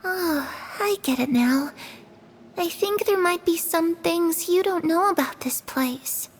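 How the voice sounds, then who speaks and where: A woman voicing a frail, timid young girl who speaks slowly and hesitantly and slips into eerie whispers. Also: very high-pitched, faint music behind. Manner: slow, hesitant, drifting into whispers. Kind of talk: video game dub acting.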